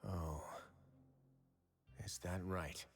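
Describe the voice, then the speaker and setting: A composed, shrewd duke from a video game, speaks in a low voice. His delivery is measured and commanding, every phrase articulate, with music in the background. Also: steady tone, full character voice. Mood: concerned.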